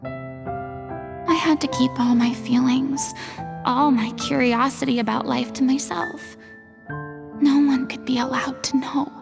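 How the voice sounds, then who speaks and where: A woman voicing a melancholy, theatrical young former goddess in a video game, speaking in a wistful, measured way. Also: high voice, steady tone, vocal fry, exaggerated voice. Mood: wistful, confessional. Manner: wistful, measured, dramatic delivery. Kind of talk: dramatic video game voice acting. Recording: background music behind the voice.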